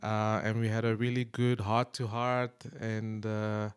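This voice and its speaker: A male podcast host with a smooth, resonant voice interviews his guest. He speaks hesitantly, restarting phrases and filling pauses with um and uh. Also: lowish voice, flat delivery. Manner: hesitant, many restarts and fillers. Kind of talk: conversational interview.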